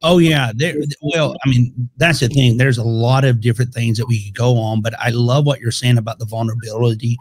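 A man's voice: medium pitch, steady tone, brisk, hardly any pauses, low-quality recording. A man in his forties hosting a faith podcast, talking in an easygoing, chatty way and laughing often. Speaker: podcast host. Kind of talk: casual conversational interview. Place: home studio room. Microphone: podcast microphone on a boom arm.

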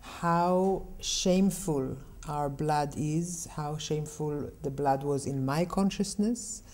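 A middle-aged woman from Israel, speaking as an interview guest in a calm, measured, explanatory way. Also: sits low. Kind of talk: unhurried interview talk.

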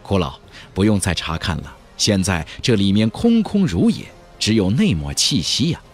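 A man playing an earnest young male cultivator in an audiobook, respectful and measured. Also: on the low side, big pitch swings, faint music behind. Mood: grave. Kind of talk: audiobook character voice.

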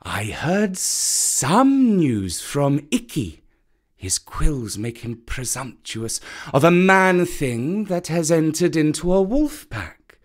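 Storybook role: a man voicing a proud old python who hisses, slow and courteous, with a swooping, lively pitch. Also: full character voice.

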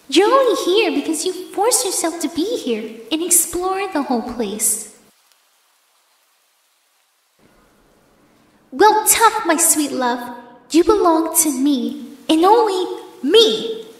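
High-pitched obsessive yandere girlfriend in echo. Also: expressive, heavily acted. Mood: sweetly possessive. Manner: slow, drawn-out, cooing endearments turning threatening. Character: possessive, obsessive yandere ex-girlfriend, sweetly menacing young woman. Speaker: audio roleplay performer. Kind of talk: ASMR horror roleplay acting. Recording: echoey reverberant hall effect.

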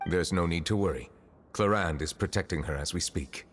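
Male actor as solemn game nobleman, low voice, formal, measured, courteous, music behind. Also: lightly acted. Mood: calm concern. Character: solemn, courteous, reserved male chief justice of regal bearing. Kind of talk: video game character dialogue.

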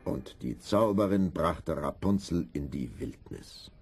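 Deep, gravelly male fairy-tale narrator. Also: lively intonation, slow, darkish tone. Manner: dramatic storytelling.

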